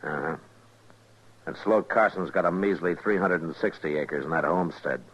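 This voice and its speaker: An American man playing a weary, fair-minded frontier marshal in a radio western, speaking in a measured, terse way. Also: sits low, slightly rough. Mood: challenging. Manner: measured, terse, quietly challenging. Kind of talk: old-time radio western drama acting. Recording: muffled old radio recording.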